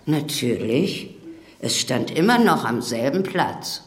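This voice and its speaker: In a roomy echo, a bitter old woman on the witness stand. She speaks slowly and defiantly, her pitch swinging widely as she accuses. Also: sing-song, heavily acted. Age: older. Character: bitter old mother-in-law testifying in court. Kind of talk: radio drama acting.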